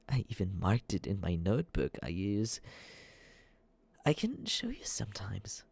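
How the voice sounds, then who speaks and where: An obsessive, lovesick young man, acted by a male ASMR performer, awkward and sweetly creepy. His husky voice lingers slowly over words and slips into soft, breathy asides. The pitch wanders up and down as he dotes nervously on the listener. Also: no room sound, exaggerated voice. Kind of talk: ASMR roleplay.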